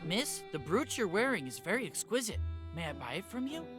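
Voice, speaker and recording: A man's voice: high-pitched, sing-song, fairly quick. An actor playing a courteous but pushy suited man in a video game, polite and insinuating. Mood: courteous, insistent. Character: smooth, pushy, courteous suited male admirer at banquet. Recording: music under the voice.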